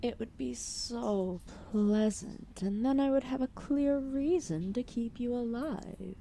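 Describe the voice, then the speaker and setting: A giant serpent deity, played by a woman, speaks with drowsy, regal amusement in an ASMR roleplay. The voice is dark and mellow with a creaky edge. The delivery is formal, condescending and drawn out, over faint background noise. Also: on the high side. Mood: amused condescension. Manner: slow, languid, formal, condescending speech. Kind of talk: ASMR roleplay audio drama.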